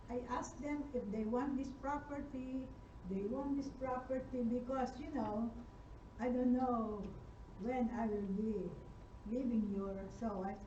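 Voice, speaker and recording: A woman's voice: on the high side, dark tone. An older woman, a mother of grown children, telling a personal story to a seminar audience in a chatty way, laughing as she goes and using non-native phrasing. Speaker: audience member. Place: church hall seminar. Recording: distant mic, roomy hall, dull sound, audible noise.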